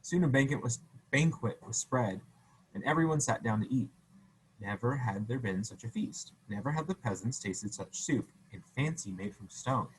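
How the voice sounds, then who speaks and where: A man's voice: mid-range voice, lively intonation, moderate pace, slight background noise, low-quality recording. Man reading a storybook aloud. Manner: casual, stumbling, self-correcting. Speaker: reader.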